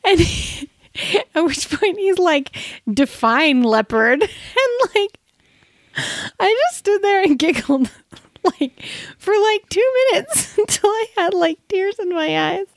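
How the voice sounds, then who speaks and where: Woman, thirties, high voice, casual chat, giggly storytelling, podcast co-host, dry recording. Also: lively intonation, takes it slowly, dry recording. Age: 30s.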